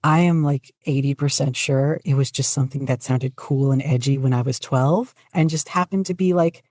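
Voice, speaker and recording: Very low-pitched woman, casual podcast guest. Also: normal speed, darkish tone, dry recording, clean studio sound. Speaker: podcast guest, fanfiction writer and podficcer. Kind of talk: casual interview chat.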